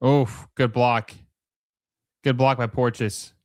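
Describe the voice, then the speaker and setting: A man's voice: mid-range voice, flat delivery, slow, darkish tone, no echo. A man hosting a live soccer watch-along, giving casual play-by-play in short, clipped phrases with fan-like reactions. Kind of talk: casual live match commentary.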